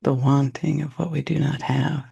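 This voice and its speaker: A man leading a guided meditation in a soft, unhurried, reflective way, with long pauses and a gravelly, husky voice. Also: mid-range voice, level tone, lo-fi sound.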